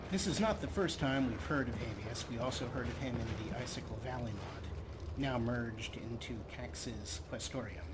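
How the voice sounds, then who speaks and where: A man hosting a let's play, giving measured, wry commentary and explanations. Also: mid-range voice. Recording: loud background noise and game ambience.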